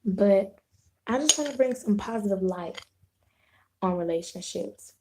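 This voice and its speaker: A hoarse woman with a sore throat, hosting a casual live video chat with her viewers, rambling and restarting her sentences. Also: medium pitch, lively intonation, noisy background. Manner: unhurried, rambling, clears throat, frequent restarts.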